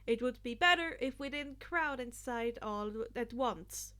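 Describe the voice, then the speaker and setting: A woman's voice: on the high side, lively intonation, a little slow, sharp tone. A woman voicing an earnest, orderly teenage boy who gives formal instructions in a story reading. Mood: instructing.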